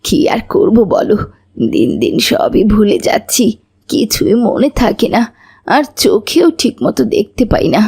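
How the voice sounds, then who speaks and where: A frail old cartoon grandmother, put on by a woman. The voice is husky and somewhat high. She speaks wearily and plaintively, as one who forgets everything. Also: very animated, measured pace, darkish tone, full character voice. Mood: weary. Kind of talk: cartoon voice acting.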